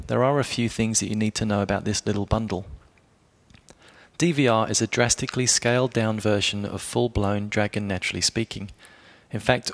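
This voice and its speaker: A man reading a scripted explainer review as a blogger, in a measured way, speaking directly to the listener. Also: on the low side. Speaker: blogger presenting a product review.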